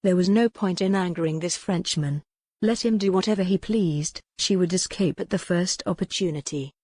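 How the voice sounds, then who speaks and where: A woman's voice: lowish voice, moderate pace, a little mellow, no room sound. A woman reading a historical novel as scripted, dramatic audiobook narration.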